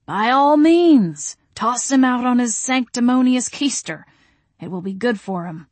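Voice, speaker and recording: Woman voicing a slow, genteel old gentleman ghost. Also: a little high, animated, no echo, pitched above the performer's own voice. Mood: sympathetic, dry. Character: courtly, amiable elderly male ghost shopkeeper.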